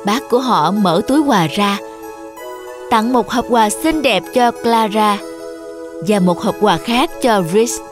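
A woman's voice: mid-range voice, slow, music in the background. A woman reading scripted fairy tale narration for children.

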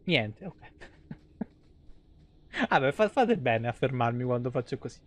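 A man's voice: mid-range voice, very animated, unhurried, no echo. A man in his thirties giving casual, chatty live gameplay commentary in an informal way. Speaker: game streamer. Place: small indoor room.

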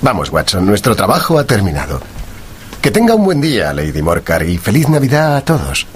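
An actor with a Castilian Spanish accent playing a shrewd, composed gentleman detective in a radio drama, formal and authoritative. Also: lowish voice, wildly swooping pitch, fairly quick, a little hiss or hum. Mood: politely confident.

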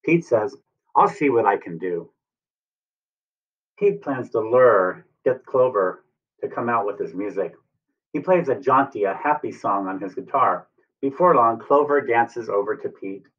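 A man's voice: medium pitch, lively intonation, moderate pace. American man reading to kids, distant mic. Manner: asks the listeners questions and explains simply. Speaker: teacher reading aloud. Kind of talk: animated picture-book read-aloud for young children. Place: room at home, indoors. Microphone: computer webcam at arm's length or more. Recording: distant, muffled webcam sound.

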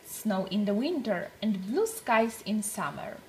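A woman reading a storybook aloud for young children, with deliberate, expressive phrasing. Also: mid-range voice, very noisy.

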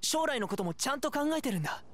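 A man's voice: extremely high pitch, sing-song, very fast, fairly bright tone, slight background noise, heavily acted. An actor playing an earnest young male hunter in an anime game, reproving and principled.

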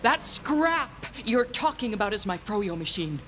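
A woman's voice: mid-range voice, very animated, unhurried, fairly bright tone, slight background noise. A woman acting in a video game as a tough, brash female shop owner, blunt and sardonic, drawing out her words. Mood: defensive.

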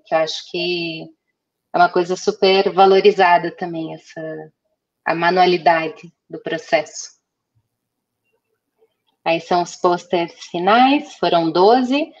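Chatty young woman on a headset call. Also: fairly low pitch. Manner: chatty, many fillers and restarts. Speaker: guest designer. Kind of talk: casual video-call interview. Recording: slightly dull lo-fi call audio.

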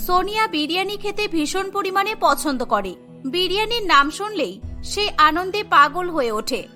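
A woman's voice: very high-pitched, pushed and bright. A woman narrating a scripted cartoon story.

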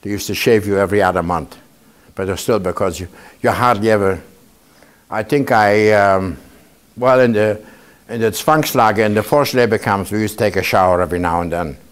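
A man's voice: lowish voice, a little fast. A man in his seventies, a Holocaust survivor, giving a conversational talk to students and often checking in with them by asking "okay?". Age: 70s. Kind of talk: informal testimony talk to a student audience. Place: indoor museum room.

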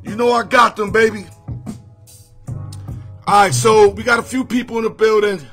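A hoarse man with a fairly high voice chats casually on a home livestream, shouting out viewers and talking in loose street slang. He sounds close to a phone or webcam, with faint music playing underneath. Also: moderate pace. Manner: energetic, greets viewers by name, street slang. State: hoarse, losing his voice. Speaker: sports picks livestream host. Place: home room full of sports memorabilia with a TV. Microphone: phone or webcam at arm's length.

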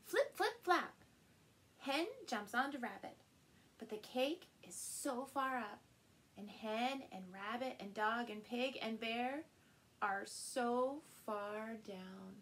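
A middle-aged woman with a fairly high voice tells a children's story very slowly. She reads expressively, playing up sound words and exclamations for young listeners. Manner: animated, slow, with playful sound words and exclamations. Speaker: storyteller and channel host. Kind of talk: expressive picture-book read-aloud for small children. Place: small furnished room indoors.

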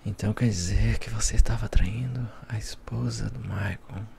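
Man playing a smug low-voiced Brazilian devil, soft ASMR. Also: steady tone, normal speed, gravelly, a little hiss or hum. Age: young adult. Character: smug, playful devil helping police as a consultant. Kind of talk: ASMR roleplay, soft talk mixed with whispering.

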